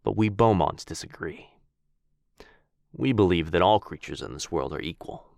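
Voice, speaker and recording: A man voicing a gentle, courtly male vampire lord in an ASMR boyfriend roleplay. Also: deep voice, animated, moderate pace, vocal fry, dry recording, clean studio sound. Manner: soft-spoken, courtly and reassuring. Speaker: voice actor.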